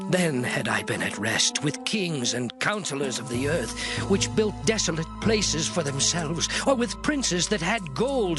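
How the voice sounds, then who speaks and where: An actor playing a grieving man in anguish, declaiming mournfully in a solemn scripture drama. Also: fairly high pitch. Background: music.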